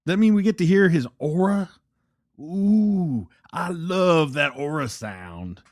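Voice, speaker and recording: A man's voice: a little high, expressive, slow pace, a little mellow. A man playing a laid-back, sarcastic radio disc jockey of the future, savoring his words in loose patter. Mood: sarcastic, amused. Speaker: radio host.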